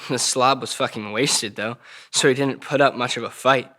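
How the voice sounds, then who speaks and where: A man's voice: mid-range voice, steady tone, normal speed, pushed and bright, lightly acted. A man acting a defiant, foul-mouthed teenage boy accused of murder, sarcastic and slangy, in a crime drama table read. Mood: bitterly sardonic.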